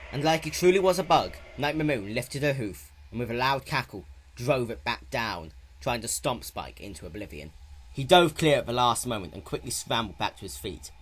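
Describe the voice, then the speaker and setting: A man with a fairly low voice narrates a story dramatically. His pitch moves around widely, and there is faint noise in the amateur recording. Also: a bit bright.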